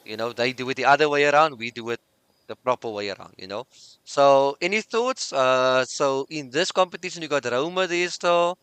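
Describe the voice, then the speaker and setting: A man hosting a casual sports podcast, chatty and relaxed, thinking aloud as he moves through topics. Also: medium pitch, normal speed, clean tone, dry recording. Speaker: podcast host.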